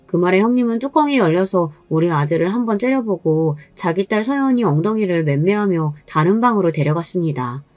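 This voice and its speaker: A woman's voice: lowish voice, lively intonation, fairly quick, hardly any pauses, a little mellow, no echo, soft background music. Dry-sounding woman narrating a story. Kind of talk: scripted first-person story narration.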